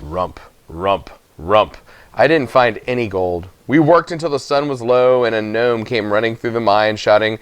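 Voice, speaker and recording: A man reading a first-person children's story aloud with expressive narration. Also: lowish voice, unhurried, smooth, no room sound. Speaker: audiobook reader.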